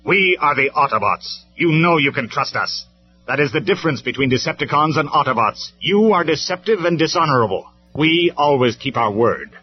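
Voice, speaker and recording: A man's voice: medium pitch, expressive, moderate pace, voice pushed up, close to an everyday voice. A man voicing a noble robot commander in a storybook reading, speaking in a stern, formal, declamatory way. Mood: stern, harsh. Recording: dull lo-fi recording with music.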